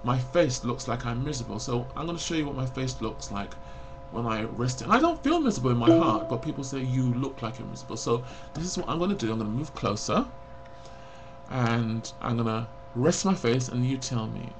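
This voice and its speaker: A man's voice: medium pitch, big pitch swings, fairly quick, music in the background. A man of forty talking frankly and bluntly to a webcam in a personal confessional vlog, rambling and reflective. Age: 40s. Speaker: vlogger.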